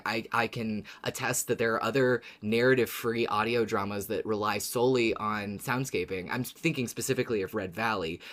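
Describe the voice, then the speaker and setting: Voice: medium pitch, fairly bright tone. A podcast co-host chatting casually about a favorite show in an informal, emphatic way. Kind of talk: casual fan podcast discussion.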